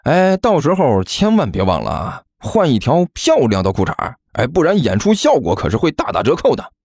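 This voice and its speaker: A man's voice: mid-range voice, wildly swooping pitch, a little fast, darkish tone, slightly rough, no echo, clean studio sound, pitched above the performer's own voice, full character voice. A man voicing a smug, chubby male student in an audiobook, drawling with mock concern as he needles his rival. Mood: gleeful gloating. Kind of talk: audiobook character acting.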